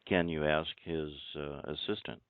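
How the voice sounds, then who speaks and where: A man calling by telephone, speaking formally and deliberately and pressing his questions. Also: a bass voice, fairly even intonation, clean tone, dry recording. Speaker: caller introducing himself as a judge. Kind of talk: formal phone conversation. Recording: tinny band-limited phone line.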